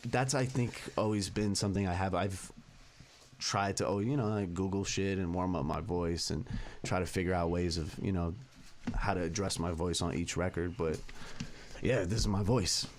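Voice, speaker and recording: Deep-voiced laid-back young rapper. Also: male voice, steady tone, normal speed, a little hiss or hum. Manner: laid-back, slangy, candid. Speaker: rapper guest. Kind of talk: casual interview chat.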